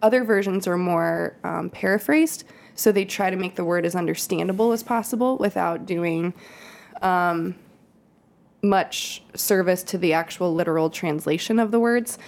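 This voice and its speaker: A woman's voice: lowish voice, moderate pace, vocal fry, darkish tone. A woman, a children's pastor, talking casually on a podcast and reading scripture aloud, with ums and laughing asides. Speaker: kids' pastor. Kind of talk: casual podcast Bible study.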